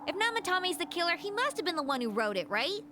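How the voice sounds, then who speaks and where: A woman's voice: extremely high pitch, big pitch swings, fast talker, noisy background. An actress playing a perky, scatterbrained, good-hearted teenage girl in a video game, talking in a casual, blurting way. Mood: puzzled. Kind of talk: English video game dub acting. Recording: game music behind the voice.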